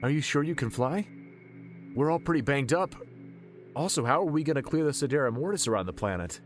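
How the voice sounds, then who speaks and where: A man's voice: medium pitch, lively intonation, fairly quick, soft background music, close to an everyday voice. Man acting as an earnest young hero team leader. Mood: concerned.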